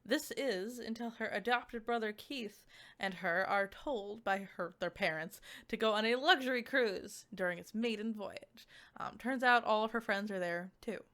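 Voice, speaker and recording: A woman with a mid-pitched voice chats through a game review in a loose, unscripted way. She rambles, breaks off to correct herself and tends toward giggles, like a casual VTuber talking to viewers. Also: normal speed, a bit bright. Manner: giggly, rambling, self-interrupting.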